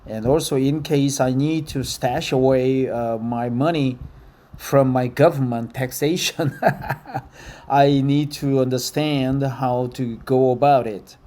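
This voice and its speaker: Korean man in his fifties, slow halting English. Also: mid-range voice, steady tone, mellow. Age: 50s. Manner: halting, many "uh" fillers, careful non-native phrasing. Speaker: English learner reading an article aloud. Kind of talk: casual spoken practice, then slow reading aloud.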